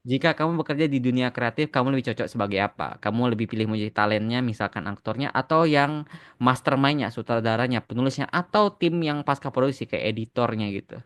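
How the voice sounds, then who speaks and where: A man's voice: mid-range voice, fast talker, smooth, no room sound. An Indonesian man hosting a casual podcast chat, dropping English phrases into his speech. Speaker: podcast host and content creator.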